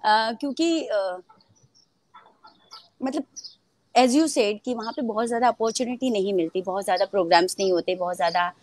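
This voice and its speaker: A young woman from Mumbai, an actress chatting in an interview, laughing often and pausing with many hesitations. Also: medium pitch, darkish tone. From Mumbai, India. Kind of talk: casual interview chat.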